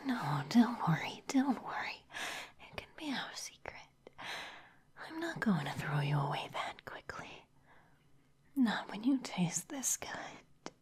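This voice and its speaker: A woman's voice: on the low side, very animated, slow, pauses often, darkish tone, breathy edge, exaggerated voice. A woman whispering in an ASMR roleplay as a teasing, predatory female vampire office boss. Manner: whispered throughout.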